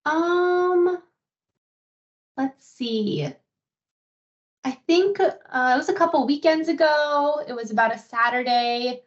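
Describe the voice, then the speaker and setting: A woman's voice: sits high, takes it slowly, pauses often. A woman answering interview questions as a patient, conversational and a little hesitant, thinking aloud. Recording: dull, lo-fi phone call audio.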